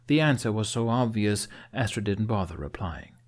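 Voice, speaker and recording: Low-voiced man narrating an audiobook. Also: expressive, moderate pace. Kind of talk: scripted fantasy narration.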